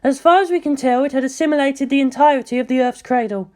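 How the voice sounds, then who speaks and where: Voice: high-pitched, fast talker, a little mellow, voice pushed up, close to an everyday voice. A narrator voicing a weary woman scientist in clipped, precise speech for an audiobook. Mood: clinical briefing.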